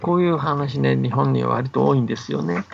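Older man, very gravelly, explaining patiently. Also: mid-range voice, brisk, soft background music. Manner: explains patiently, often seeking agreement. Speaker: folktale scholar and storyteller. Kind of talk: casual explanatory radio talk about folktales. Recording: dull band-limited audio, faint background noise.

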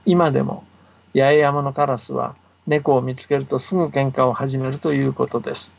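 A man telling folktales as a guest on a radio show, chatty and relaxed, with asides to the host, heard over a muffled remote line. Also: medium pitch, normal speed, gravelly, a little hiss or hum. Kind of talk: folktale telling in casual talk. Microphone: remote line to the studio.

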